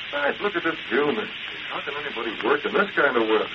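A man's voice: medium pitch, sing-song, quick pace, lightly acted. A muffled old radio serial with heavy noise. A man plays an American masked crime fighter and newspaper publisher with an ordinary mid-range voice, who passes as an easygoing playboy. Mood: breezy, carefree. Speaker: lead actor in a radio serial. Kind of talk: old-time American radio drama acting. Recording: muffled, noisy vintage radio recording.

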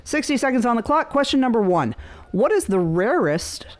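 A woman hosting a phone-in quiz in a casual, chatty, bantering way, quick to laugh. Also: on the high side, very animated. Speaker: radio show host running a phone-in quiz.

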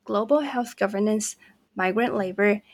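Gravelly-voiced woman hosting an academic podcast. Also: medium pitch, moderate pace. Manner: gentle, hesitant with fillers. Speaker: podcast host. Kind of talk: academic interview.

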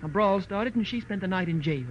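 A woman's voice: mid-range voice, lively intonation, a little fast, dull audio, a little hiss or hum, close to an everyday voice. Woman acting an imperious society lady, old radio recording. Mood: indignant, bitter. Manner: crisp, commanding, disdainful.

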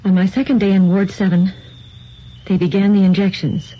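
An actress playing a composed woman in a radio drama, reading in a measured, solemn manner. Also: mid-range voice, level tone, mellow, natural voice. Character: composed woman reading a grim account aloud. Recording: dull lo-fi radio recording, faint noise.

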